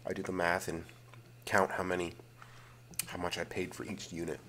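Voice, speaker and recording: A man hosting a casual, rambling ASMR video, slipping between vocal fry and whispering and often repeating his phrases. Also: deep voice, level tone, normal speed, a little hiss or hum. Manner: alternates hushed fry and whispering.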